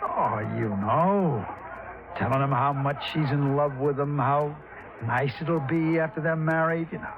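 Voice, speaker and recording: A man's voice: on the high side, a little slow, lightly acted. An actor playing a jumpy, irritable male gambler with a blustery, stammering manner in a 1950s radio drama. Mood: confiding. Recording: muffled, noisy vintage radio recording.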